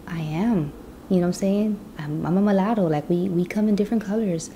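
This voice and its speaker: A woman's voice: mid-range voice, brisk, warm tone, slight background noise. A woman from the US Midwest telling her personal story in a chatty vlog, with lots of "you know". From Chicago, US Midwest. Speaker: vlogger.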